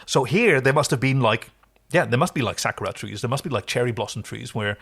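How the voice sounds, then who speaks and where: A man's voice: mid-range voice, expressive, fast talker, vocal fry, fairly bright tone, slightly rough. A man co-hosting a casual TV recap podcast, talking in a wry, joking and discursive way. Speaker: podcast co-host. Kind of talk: casual TV episode recap chat.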